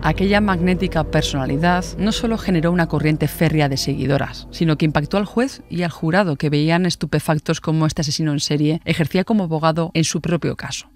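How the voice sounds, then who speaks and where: A man's voice: fairly high pitch, fast talker, few pauses, faint music behind. A man narrating a scripted true-crime podcast.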